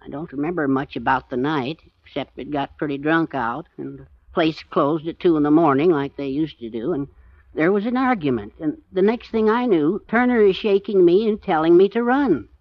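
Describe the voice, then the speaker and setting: A man's voice: on the high side, moderate pace, no echo, lightly acted. An actor playing a short, nervous, guilt-ridden man in hiding, speaking bluntly with an American accent in a 1940s radio drama. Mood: weary, uneasy. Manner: terse, blunt, plain-spoken. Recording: dull, dry 1940s radio recording.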